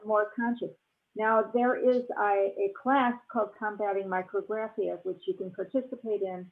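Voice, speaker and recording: A woman's voice: mid-range voice, fairly even intonation, normal speed. A woman on a panel, chatting casually over a video call, often restarting her sentences and laughing easily. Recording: tinny phone-like audio.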